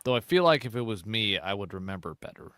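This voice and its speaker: Brief: male co-host, animated rambling storyteller, dry close mic. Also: fairly low pitch, quick pace, clear, resonant tone, no room sound. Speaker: podcast co-host. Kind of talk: casual chat.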